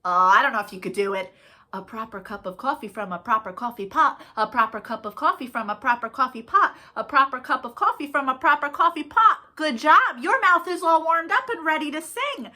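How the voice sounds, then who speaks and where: A woman's voice: high voice, animated, brisk, sharp tone. A woman hosting a children's storytime, talking directly to young listeners in a playful, encouraging way. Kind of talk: children's storytime read-aloud with warm-ups.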